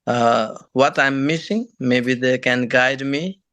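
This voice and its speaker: A young man from Nepal with a Nepali accent, answering interview questions in halting, non-native phrasing. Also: medium pitch, fairly bright tone, clean tone, dry recording. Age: young adult. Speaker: guest, engineering graduate and former student.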